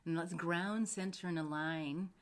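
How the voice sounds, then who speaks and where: Slow, low-voiced woman guiding meditation. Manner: soothing, unhurried, meandering with pauses. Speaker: host and meditation guide. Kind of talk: unscripted live talk and guided meditation.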